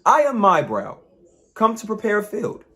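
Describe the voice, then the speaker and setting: A man voicing a humble farmer in a folktale, declaring himself plainly and deliberately. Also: high voice, huge pitch swings, pushed and bright, voice pushed up, full character voice. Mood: dutiful. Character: humble male farmer answering the fairies promptly.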